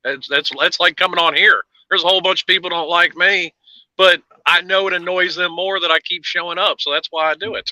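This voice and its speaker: A man's voice: sits high, fairly even intonation, brisk, pushed and bright, no echo, low-quality recording. A man in a casual livestream chat, teasing and folksy.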